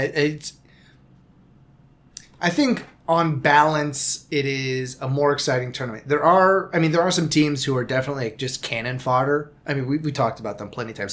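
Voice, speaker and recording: A man hosting a soccer podcast in loose, casual chat. He rambles, restarts sentences and corrects himself as he goes, at an ordinary mid-range pitch. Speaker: podcast host.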